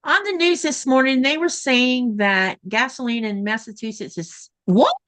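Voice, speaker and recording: A woman's voice: high voice, normal speed, a bit bright. A woman from Florida co-hosting a casual sewing livestream over a video call, chatting and reading out viewer comments. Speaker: livestream co-host. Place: home in Greenland.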